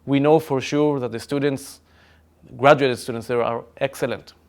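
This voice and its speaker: Male academic podcast guest, Israeli accent, clean resonant medium-pitched voice, emphatic delivery. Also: fairly even intonation, normal speed. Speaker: chemistry professor. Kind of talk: conversational podcast discussion.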